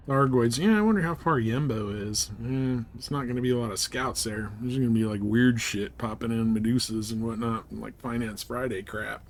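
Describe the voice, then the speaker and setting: A man talks through his game session as a streamer. His mid-pitched voice rambles along in loose, easygoing chat, with the odd bit of crude slang. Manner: rambling, easygoing, mildly profane. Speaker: live streamer playing a space game. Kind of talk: casual gameplay chat.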